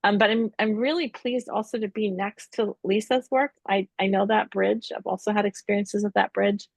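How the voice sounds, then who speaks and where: A middle-aged woman from the Middle East, an exhibiting artist speaking thoughtfully and haltingly in a gallery talk. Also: medium pitch, steady tone, creaky, no room sound. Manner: thoughtful, halting, searching for words. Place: art gallery.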